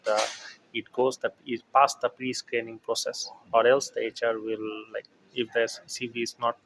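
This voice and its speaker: Man from Bangladesh, flat monotone delivery, hesitant explanations, faint background noise. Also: medium pitch, low-quality recording. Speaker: interviewee, quality specialist. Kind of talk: casual interview answers. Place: office.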